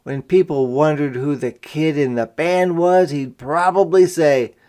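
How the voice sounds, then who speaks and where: A man reading a picture book aloud to young children as a teacher, with expressive, deliberate delivery and simple explanations. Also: fairly high pitch. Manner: dramatic, deliberate delivery with emphasized character lines.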